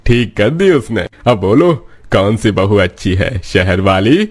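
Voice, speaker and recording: A man's voice: lowish voice, big pitch swings, unhurried. A man playing an elderly, plain-spoken and opinionated father-in-law in a cartoon village story. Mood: vindicated. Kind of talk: cartoon moral story dialogue.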